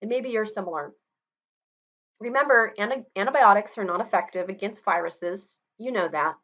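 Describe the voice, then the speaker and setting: Woman, teacher, conversational, mid pitch, slightly dull webcam audio. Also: normal speed, fairly bright tone. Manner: conversational, explanatory, personal asides. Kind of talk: recorded online lecture. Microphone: webcam video recording.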